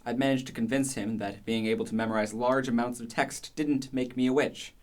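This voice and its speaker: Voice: medium pitch, steady tone, fairly quick, lightly acted. A performer playing a proud, argumentative male Renaissance philosopher in exile in a radio play, speaking in a dry, haughty manner. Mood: dry, defensive. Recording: faint background noise.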